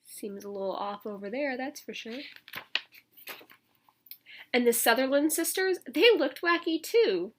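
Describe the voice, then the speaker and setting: A woman teacher reading a picture book aloud to children, expressive and emphatic, with casual asides to her listeners. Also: high voice, unhurried, long pauses, slight background noise.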